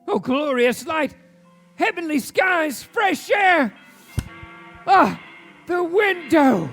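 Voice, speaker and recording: Excitable old reformed miser, very high voice, unhurried delivery, exclamatory, theatrical radio play, music behind. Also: male voice, heavily acted. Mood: giddy elation. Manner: exclamatory, repeats words in fervent bursts. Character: elderly reformed miser, frantic, giddy and overjoyed. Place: theatre stage before an audience. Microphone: shared stage microphone. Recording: live stage recording with music behind.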